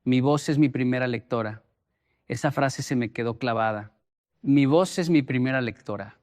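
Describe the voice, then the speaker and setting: A man speaking as a motivational speaker, deliberate and emphatic, repeating phrases for effect as he explains and tells stories. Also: medium pitch, a little mellow. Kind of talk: motivational talk with storytelling.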